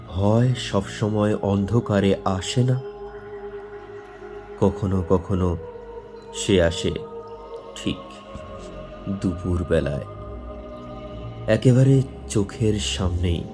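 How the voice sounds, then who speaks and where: A man presenting and narrating a scripted horror story in a measured, suspenseful, dramatic manner. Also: lowish voice. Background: faint background music.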